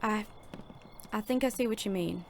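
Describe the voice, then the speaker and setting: A woman's voice: medium pitch, moderate pace, vocal fry, slight background noise, close to an everyday voice. A woman playing an earnest, naive young sheriff's deputy, hesitant and dutiful, in a Western audio drama. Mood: flustered, earnest.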